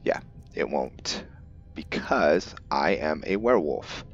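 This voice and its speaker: A man's voice: a bass voice, animated, slow, highs cut off, soft background music. A man hosting a gaming video, giving casual roleplay commentary in a musing, thinking-aloud manner.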